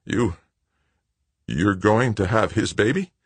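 A man's voice: on the low side, slow pace, slightly rough, no echo, voice pushed up. A man voicing a volatile, bitter adult son in an audiobook, with a snarling, ranting delivery. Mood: bitter, shocked. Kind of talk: audiobook character acting.

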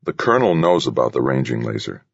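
A man's voice: very deep voice, sing-song, fast, gravelly, slightly rough, dry recording, muffled recording, full character voice. A man voicing a smaller, brainy man in an audiobook, earnest and placating yet stubbornly insistent. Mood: reluctant, firm. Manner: earnest, soft-spoken but insistent. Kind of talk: audiobook character voice.

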